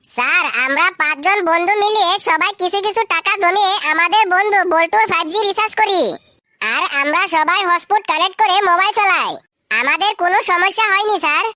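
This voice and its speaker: Cartoon schoolboy prankster, squeaky, very high-pitched, rapid, cheeky, dry close sound. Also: pushed and bright, dry recording. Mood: cocky. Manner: cheeky, quick-witted back-talk. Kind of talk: comedy cartoon voice acting.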